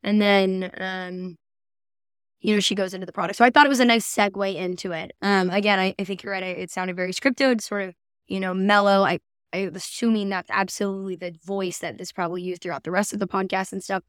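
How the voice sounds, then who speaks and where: A woman co-hosts a podcast in a casual, conversational way. Her voice is clear and smooth, at a middling pitch. She sounds agreeable and thinks aloud as she goes, recorded dry and close. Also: steady tone, fairly quick, no room sound. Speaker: podcast co-host. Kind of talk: casual chat reviewing podcast ads.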